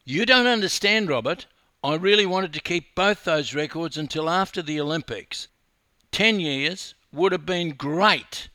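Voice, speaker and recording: A man's voice: medium pitch, very animated, takes it slowly, pushed and bright, dry recording, clean studio sound, pitched above the performer's own voice, full character voice. A man voicing a proud, snappish woman champion in a narrated story. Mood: impatient, snapping. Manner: snappish, brooding. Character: proud, irritable woman swimming champion losing her records.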